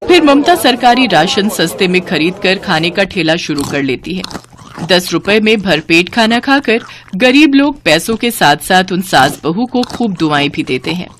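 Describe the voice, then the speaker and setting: A woman's voice: fairly low pitch, sing-song, normal speed, fairly bright tone, a little hiss or hum. A woman narrating a scripted story as its storyteller.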